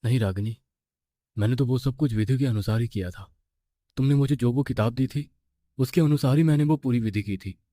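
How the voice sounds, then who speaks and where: A man voicing an anxious young boyfriend in an animated horror story, with an urgent, flustered delivery. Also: medium pitch, brisk, no room sound, studio quality, close to an everyday voice. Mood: defensive.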